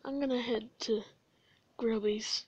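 A woman voicing a gentle, weary male skeleton in an amateur comic dub, low-key and hesitant in casual slang. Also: fairly even intonation, unhurried, slightly rough. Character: gentle, weary male skeleton older brother, laid-back. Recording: dull, echoey room recording.

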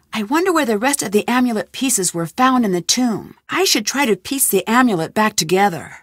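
A determined young woman detective muses aloud over clues and puzzles in a game. Her voice sits a little high and bright. The sound is close and dry, with no room around it. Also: dry recording. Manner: thinking aloud, inquisitive. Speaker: game heroine. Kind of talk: game voice lines.

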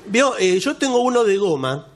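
High-voiced man hamming up a fussy expert. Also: sing-song, moderate pace, slight background noise. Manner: plays a fussy expert with mock-formal usted. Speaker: radio show co-host. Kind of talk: comedic improvised banter.

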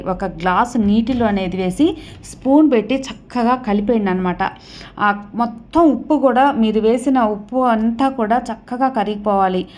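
A woman hosting a devotional channel, explaining to viewers step by step in a repetitive, instructional way. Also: mid-range voice. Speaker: host of a devotional remedy channel.

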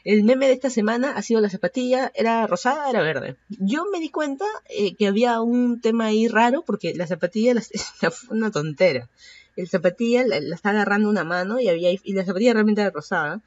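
A woman's voice: medium pitch, fast, a little mellow, highs cut off. A Peruvian woman in her thirties with a Peruvian accent, hosting a casual solo podcast and rambling with many fillers and self-corrections. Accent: Peruvian Spanish. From Peru. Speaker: podcast host.

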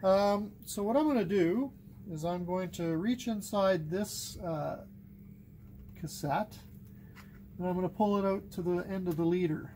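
A middle-aged man giving a casual, unscripted workbench tutorial, thinking aloud haltingly as he works with his hands. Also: sits high, dark tone, slight background noise. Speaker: hobbyist demonstrating cassette repair. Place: indoors at a wooden workbench.

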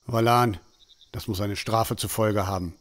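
A man's voice: fairly low pitch, steady tone, a little slow, lightly acted. A man playing a strict, angry and worried village father, scolding in a fantasy audio drama. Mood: stern. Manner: scolding, indignant.

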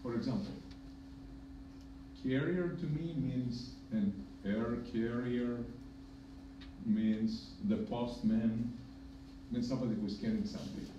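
A man's voice: medium pitch, recorded from a distance. Greek-accented man in an echoey room. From Greece. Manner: measured, emphatic, with dry humor. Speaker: hepatologist panelist. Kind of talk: conference panel remarks. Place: conference room with projector. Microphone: podium mic, filmed from far in the room. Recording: echoey room from afar, dull and noisy.

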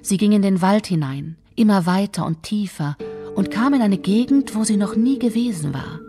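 A woman narrator telling a scripted German fairy tale in a measured, expressive storytelling manner. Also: medium pitch. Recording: music behind the voice.

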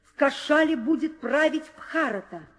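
A woman's voice: high voice, animated, moderate pace. An actress playing a haughty, commanding queen in an old theatrical audio drama, with declamatory delivery. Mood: imperious. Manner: imperious, declamatory. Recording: old radio play, hall reverb.

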